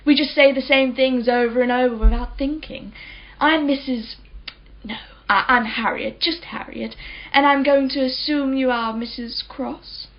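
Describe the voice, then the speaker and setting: Actress as posh-mannered rich lady in her 40s, chatty radio drama, highish voice, bit dull sound. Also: steady tone, a little slow. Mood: breezy, chatty. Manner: polite, chattering, self-assured. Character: wealthy, well-spoken, chatty woman in her forties. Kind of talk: radio play acting. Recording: slightly dull, faint crowd behind.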